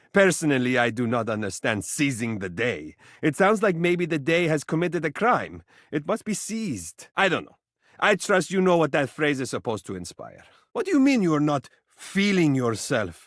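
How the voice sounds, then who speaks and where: A living mirror from a video game, vain and lavishly affectionate. His voice sits high and swings theatrically up and down. He speaks in pompous, romantic flourishes, recorded close and dry. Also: no room sound. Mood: encouraging, soothing. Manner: flamboyant, pompous, grandly romantic phrasing.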